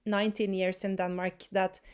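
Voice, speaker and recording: A woman musician from Norway, whose first language is Norwegian, answering interview questions in a relaxed way, often stopping to hunt for words. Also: medium pitch, normal speed, clear, resonant tone. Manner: searches for words, many restarts and fillers. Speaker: folk musician.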